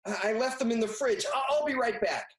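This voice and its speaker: A woman's voice: a little high, brisk, natural voice. A woman playing a flustered, good-natured cookout host in a superhero audio drama. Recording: roomy, echoing sound.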